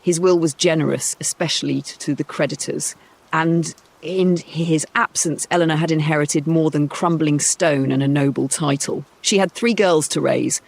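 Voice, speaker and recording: A man's voice: fairly high pitch, steady tone, normal speed, gravelly. A man narrating a scripted bedtime story.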